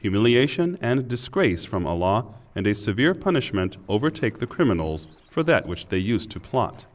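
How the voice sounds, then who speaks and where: Expressive man reading scripture formally. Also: lowish voice. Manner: measured, formal, solemn. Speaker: narrator reading Quran translation.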